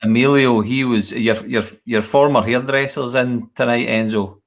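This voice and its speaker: A man's voice: mid-range voice, fairly even intonation, normal speed, gravelly. A Scottish man casually hosting a community chat show.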